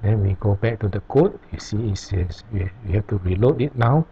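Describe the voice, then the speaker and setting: A man teaching programming over a screen recording, explaining each step slowly with pauses. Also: lowish voice, expressive, slightly rough. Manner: slow, halting explanation with pauses. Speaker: programming tutor. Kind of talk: screen-recorded coding tutorial. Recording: dull lo-fi recording.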